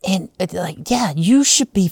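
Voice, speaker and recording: A woman's voice: mid-range voice, lively intonation, normal speed, gravelly, a little mellow, breathy edge, no echo, clean studio sound. A woman interviewer chatting casually, in an effusive, conversational way.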